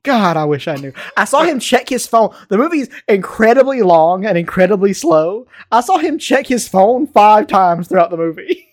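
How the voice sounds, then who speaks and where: An American man chatting casually and telling stories in a rambling, animated way. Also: high voice, normal speed, dry recording, clean studio sound. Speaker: podcast co-host. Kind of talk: casual chat, storytelling.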